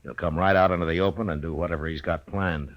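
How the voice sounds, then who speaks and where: Deep low voice, American frontier marshal, steady, laconic, dry wit, unhurried, old-time radio western. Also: male voice. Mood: calm, resolute. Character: steady, dryly humorous lawman in his prime, Old West marshal.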